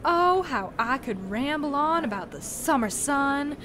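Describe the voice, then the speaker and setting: A woman's voice: sits high, expressive, unhurried, over music. A woman playing a genial, long-winded, folksy male cowboy posse leader in a western audio drama. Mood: nostalgic, rambling. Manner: rambling, folksy, theatrical storytelling.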